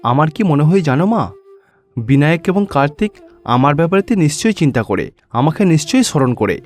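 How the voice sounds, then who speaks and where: A man's voice: a little high, very animated, a little fast. Man voicing an earnest, pious cartoon schoolboy. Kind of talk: cartoon story dialogue.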